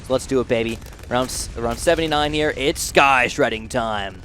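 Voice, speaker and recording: A young man with a nasal voice giving rambling, excitable commentary while playing a video game. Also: medium pitch, normal speed, a little hiss or hum. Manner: rambling, excitable, thinks aloud. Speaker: gaming channel host. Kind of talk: casual gameplay commentary.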